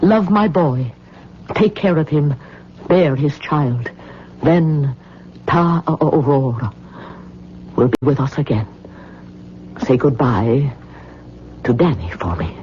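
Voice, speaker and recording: An actress playing a proud, mystical older woman in an old-time radio drama, speaking gravely and haltingly with theatrical weight. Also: deep voice, lively intonation. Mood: solemn. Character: heavy old Hawaiian woman, proud, mystical, solemn former lover. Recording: muffled, noisy old radio recording.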